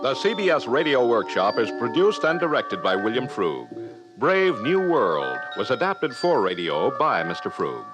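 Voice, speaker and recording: A man's voice: mid-range voice, sing-song, moderate pace, over loud music. An American man reading credits in a formal 1950s radio announcing style. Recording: vintage 1950s radio recording.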